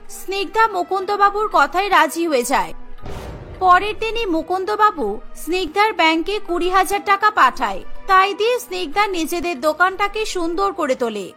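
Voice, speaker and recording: A woman's voice: very high voice, lively intonation, normal speed, bright, forceful tone, faint music behind. A woman narrating a scripted cartoon story.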